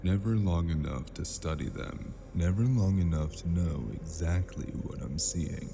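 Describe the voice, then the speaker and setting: A man narrating and playing the lead in a noir audio drama, in a slow, brooding, dry manner. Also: low voice, vocal fry, long reverb tail, music in the background.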